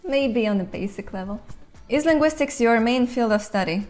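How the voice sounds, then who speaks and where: A young woman from Latvia whose first language is Russian, speaking English in a relaxed question-and-answer video, thoughtful with light laughs, on a lapel mic in a bright indoor room. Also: fairly high pitch, normal speed, faint music behind. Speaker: language channel host. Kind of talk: casual Q&A to camera.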